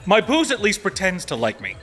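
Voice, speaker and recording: An actor playing a wry, hard-drinking married attorney in an audio theatre comedy. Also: male voice, high voice, wildly swooping pitch, a little fast, over music. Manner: wry, joking.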